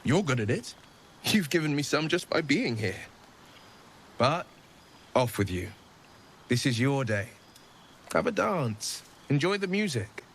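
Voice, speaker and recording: Courtly, wistful gallant adventurer. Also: male voice, mid-range voice, big pitch swings, unhurried, pauses often, slight background noise. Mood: warm, encouraging. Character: gallant, wistful, modest male adventurer of noble upbringing. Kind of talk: cinematic fantasy game acting.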